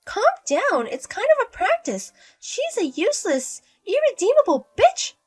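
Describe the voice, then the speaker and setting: A woman voicing a scheming older mother-in-law who talks in a shrill, scornful, domineering way. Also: wildly swooping pitch, measured pace, a little mellow, soft background music, exaggerated voice.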